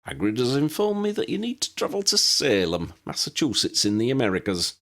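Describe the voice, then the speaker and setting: A man's voice: medium pitch, huge pitch swings, fairly quick, fairly bright tone, pitched above the performer's own voice. Man acting a tremulous, fussy little old wizard. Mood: obliging, chatty. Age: older. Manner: chatty, repeats himself. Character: short, tremulous old wizard pilot in overalls, fussy and obliging.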